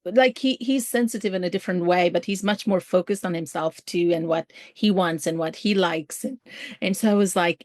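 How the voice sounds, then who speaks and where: A woman's voice: medium pitch, no room sound, clean studio sound. A middle-aged woman with an Albanian accent, telling personal stories conversationally as an interview guest. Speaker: podcast guest, ministry field coordinator.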